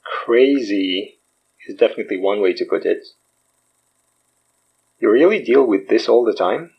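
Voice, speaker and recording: A man playing a gentle, rattled survivor in a horror audio drama, hesitant and emotional in delivery. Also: on the low side, a little slow, creaky, slightly rough, muffled recording, heavily acted. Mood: tentative, teasing.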